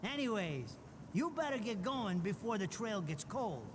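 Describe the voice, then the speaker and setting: Game sidekick: weaselly American informant, high and animated, wheedling, in ringing reverb with noise. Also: male voice, a little slow. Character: weaselly, wheedling small-time male informant, excitable and greedy. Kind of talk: video game cutscene acting. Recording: noisy, long echoing reverb.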